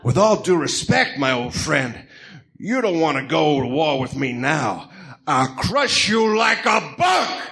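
A man acts as a self-important Greek gangland boss in a comic-book radio drama. He is high-pitched and creaky, with very animated delivery, all melodrama and bluster. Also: measured pace, full character voice. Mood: threatening.

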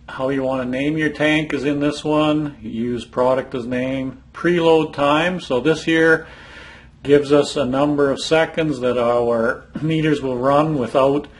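A man giving a plain, step-by-step walkthrough of a monitor's setup screens, speaking from behind a handheld camera in an indoor room. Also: mid-range voice. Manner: plain, instructive, some fillers and restarts. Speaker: product trainer.

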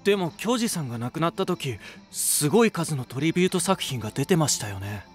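A man's voice: on the high side, big pitch swings, brisk, faint music behind. A male actor playing a sincere, eager young rock singer in an anime voice drama, speaking politely. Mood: curious.

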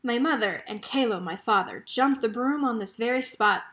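A woman's voice: on the high side, normal speed, a bit bright, natural voice. A woman voicing an earnest, protective teenage soldier boy from a storybook, with urgent, folksy rural speech. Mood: quiet, earnest.

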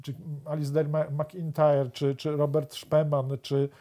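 A man's voice: on the high side, completely flat delivery, measured pace, dark tone. Older man talking with many restarts. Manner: thoughtful, many restarts and hesitations. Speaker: author and guest. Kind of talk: studio discussion. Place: TV studio.

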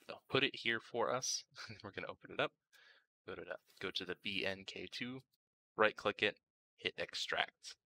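A man talks through a software tutorial in an informal way, thinking aloud as he goes. His voice is low and gravelly at the edges, yet very bright and forceful. He sounds like a casual guide explaining each step. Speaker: tutorial host.

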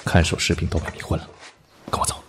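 A man's voice: fast, heavy background noise, natural voice. A man playing a stern but devoted army officer, terse and brooding, in a radio drama. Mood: hushed, urgent. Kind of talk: Chinese fan radio drama acting.

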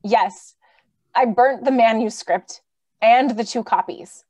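A remorseful, idealistic wife in an old-time radio play, acted by a woman. Her high voice pleads earnestly, recorded dry. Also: takes it slowly, a little mellow, dry recording. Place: indoors, on a video call.

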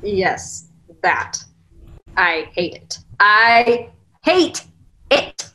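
A woman's voice: medium pitch, big pitch swings, very slow, bright, forceful tone, heavily acted, rough recording. A woman in her thirties acting an earnest, defensive girlfriend in a naturalistic table read over a video call. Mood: frustrated. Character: earnest, defensive thirty-year-old girlfriend in a couple's spat. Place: small plain room, on video call.

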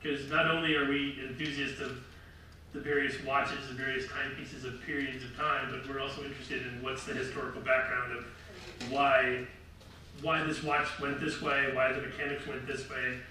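Loud-voiced man lecturing in echoey hall. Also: medium pitch, level tone, normal speed. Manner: rambling, self-correcting, light laughs. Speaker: lecture presenter. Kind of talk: casual public talk with slides. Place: large room with seated audience. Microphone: far camera. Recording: echoey hall from far off, faint noise.

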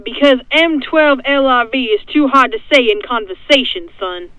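A woman voicing a gruff, bossy, sarcastic male army sergeant in a fan parody cartoon dub, barking out orders. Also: high voice, moderate pace, bright, forceful tone, highs cut off. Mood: proud. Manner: barking, drawling mock orders.

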